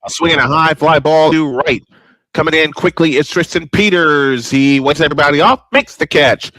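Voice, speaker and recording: A man's voice: fairly high pitch, moderate pace, bright, forceful tone, no echo, low-quality recording. A man doing old-school baseball play-by-play commentary as the host of a broadcast.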